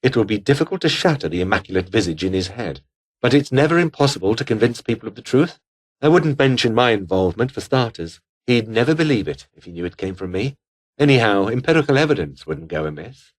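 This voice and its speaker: Game role: pompous, scheming British officer, coldly ambitious, with widely swinging intonation. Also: male voice, on the low side, big pitch swings, fast talker. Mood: conspiratorial, cold. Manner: pompous, formal, disdainful. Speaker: video game voice actor. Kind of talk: scripted game character dialogue.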